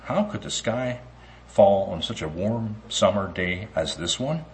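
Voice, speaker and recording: A man reading aloud as a relaxed, puzzled duck in a children's story. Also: lowish voice, a little slow. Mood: wondering. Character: cheerful easygoing male duck.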